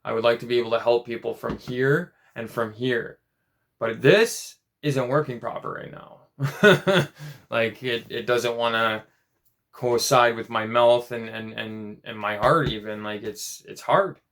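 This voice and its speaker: A man in his late twenties talking in a casual personal vlog, rambling and halting, with many restarts and fillers. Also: medium pitch, vocal fry, a bit bright. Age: 20s. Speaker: vlogger.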